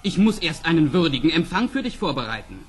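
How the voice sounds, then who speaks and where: An actor playing a young, courteous, ardent prince, earnest and formal, in a fairy-tale audio play. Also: male voice, on the high side, big pitch swings, fast talker, husky, faint music behind. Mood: tender pride.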